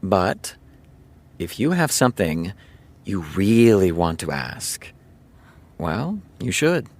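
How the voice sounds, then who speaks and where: An actor playing a candid, wry TV showrunner, talking conversationally and pausing for effect in a naturalistic audio drama. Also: male voice, sits low, sing-song, a little mellow, full character voice. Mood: wry, frank. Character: candid male TV showrunner, wry and self-deprecating. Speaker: television writer character.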